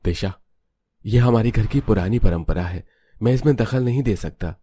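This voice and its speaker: Casting: male actor as meek young husband in a family cartoon, resigned, a bit low-pitched. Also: normal speed, dark tone, slight background noise, close to an everyday voice. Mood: resigned, apologetic. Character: resigned young husband, helpless before tradition. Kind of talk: Hindi cartoon family drama dialogue.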